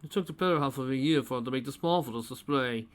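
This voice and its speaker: A woman's voice: extremely low pitch, fast. A woman putting on a haughty older fashion snob. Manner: haughty, rolls his Rs.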